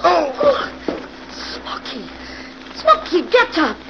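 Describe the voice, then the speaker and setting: Shrill, panicky gangster's moll. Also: female voice, huge pitch swings, extremely slow, creaky, full character voice. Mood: panicked. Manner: frightened, urgent. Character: panicky female gangster's accomplice, a glamorous crook. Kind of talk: 1940s radio acting. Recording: muffled, very noisy old radio.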